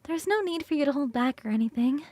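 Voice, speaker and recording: A woman voicing a playful, hungry and affectionate succubus roommate in an ASMR roleplay, coaxing and teasing with sighs and soft, breathy turns. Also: high-pitched, lively intonation, no echo. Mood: delighted, teasing. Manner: coaxing, sighing, drawn-out savouring, shifting into soft whispers.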